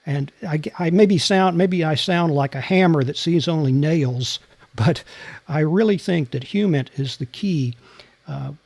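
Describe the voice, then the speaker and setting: A man being interviewed as a guest, speaking in a measured, deliberate and soothing way. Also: a little high, creaky, darkish tone, no echo. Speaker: guest, former CIA officer and novelist. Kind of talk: interview answers.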